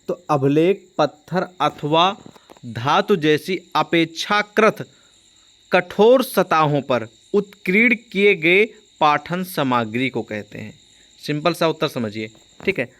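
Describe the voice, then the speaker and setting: A man teaching an informal exam-prep lesson, explaining by repeating and rephrasing, with frequent check-in tags. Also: on the high side. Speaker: online history teacher.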